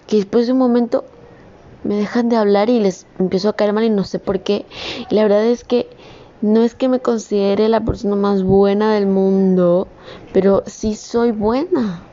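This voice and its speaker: A woman's voice: mid-range voice, dark tone, lo-fi sound. A young woman, a communications student, talking in a rambling, earnest personal vlog that rises into emphatic scolding. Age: young adult.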